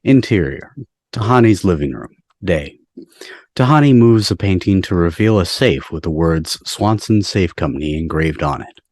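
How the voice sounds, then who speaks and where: A man's voice: low voice, lively intonation, moderate pace, a little mellow, no room sound, clean studio sound. A man giving scripted narration of screenplay stage directions on a video call.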